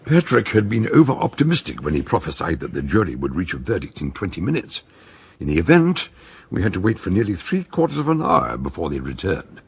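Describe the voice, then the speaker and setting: Deep, gravelly English mystery narrator. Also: male voice, huge pitch swings, fairly quick, full character voice. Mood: wry storytelling. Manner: storytelling, precise. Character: urbane male friend narrating a murder mystery. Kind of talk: scripted radio drama narration.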